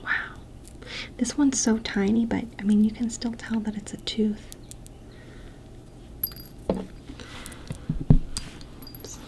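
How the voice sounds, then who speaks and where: A woman doing a soft-spoken ASMR roleplay, mostly whispering and talking gently. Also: a little high, level tone, very slow, pauses often. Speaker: ASMR creator. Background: tapping and clicking sounds.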